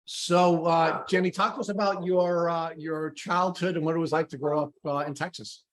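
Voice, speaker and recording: A man hosting a podcast, giving enthusiastic introductions and asking measured, deliberate questions with small "uh" pauses. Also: a little high, steady tone, poor audio. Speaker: podcast host.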